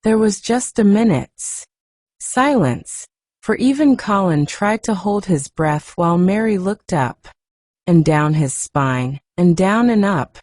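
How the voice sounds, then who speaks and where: Soft-spoken woman reading a kids' classic, slow, very dry close sound. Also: no room sound. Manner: gentle, acts dialogue with raised pitch. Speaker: narrator.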